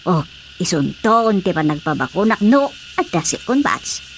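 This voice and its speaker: A woman's voice: on the low side, big pitch swings, moderate pace, slight background noise. A woman playing a stubborn, matter-of-fact villager in a radio drama, speaking with an Ilocano Filipino accent. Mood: resigned. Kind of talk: radio drama comedy acting.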